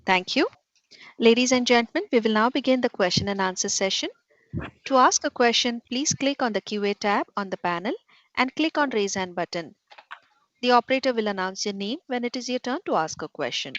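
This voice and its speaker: High-voiced woman call operator. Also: lively intonation, brisk, a bit bright, dry recording. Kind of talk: scripted announcements. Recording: dull conference call audio.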